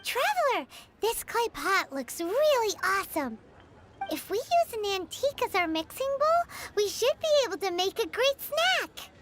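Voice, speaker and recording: A woman voicing a small, cheerful, childlike fairy companion with a squeaky, bubbly delivery in a video game. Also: big pitch swings, normal speed, very noisy. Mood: eager. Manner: bubbly, exclaiming. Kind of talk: animated video game dialogue.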